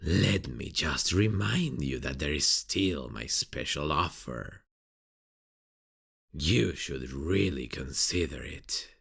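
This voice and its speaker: Playful sadistic killer, low-pitched male voice, gravelly fry, mocking, drawn-out teasing, ASMR roleplay villain. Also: lively intonation, a bit bright, full character voice. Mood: gloating, teasing. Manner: mocking, teasing, drawn-out delivery with sinister chuckles. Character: sadistic, playful serial killer taunting a detective.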